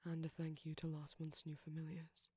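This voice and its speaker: Guy reading out his channel outro, soft husky breathy voice, fairly even pitch. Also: moderate pace, no echo. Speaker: audio creator. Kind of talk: scripted outro, reading supporter names.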